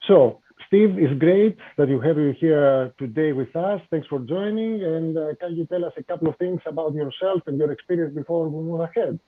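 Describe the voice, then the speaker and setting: A man hosting a business podcast, introducing his guest and asking questions with many "uh" pauses. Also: on the high side. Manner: hesitant, many "uh" fillers. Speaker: podcast host. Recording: tinny phone-like call audio.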